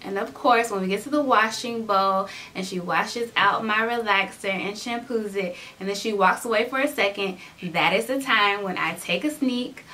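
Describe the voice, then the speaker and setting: A woman's voice: medium pitch, pushed and bright. A young woman chatting to camera in a playful vlog, acting out salon moments. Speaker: beauty vlogger. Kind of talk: casual vlog chat to camera. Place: indoors in front of a decorated backdrop wall.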